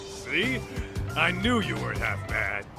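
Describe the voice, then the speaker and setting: A man's voice: mid-range voice, wildly swooping pitch, slow pace, gravelly, exaggerated voice. A man acting a brash, easygoing tough-guy detective buddy in an English-dubbed game cutscene, blunt, slangy and jovial. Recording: music behind the voice.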